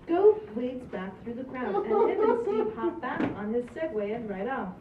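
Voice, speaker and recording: A woman's voice: high voice, sing-song, moderate pace. Woman reading stage directions, echoey and distant. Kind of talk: scripted narration at table read. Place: small indoor stage. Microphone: far camera. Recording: distant echoey muffled noisy camera audio.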